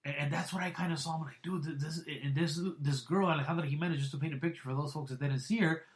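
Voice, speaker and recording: A man hosting a boxing podcast, rambling with many restarts and crude, blunt remarks, swinging between loud excited bursts and low mutters. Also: fast. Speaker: podcast host. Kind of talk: casual boxing podcast commentary.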